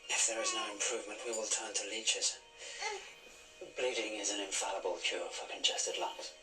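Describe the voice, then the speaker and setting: A man's voice: on the low side, steady tone, normal speed, bright, forceful tone, roomy sound, recorded from a distance, rough recording. An actor playing a scheming, obsessive male courtier in a period television drama. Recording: drama soundtrack with clear music.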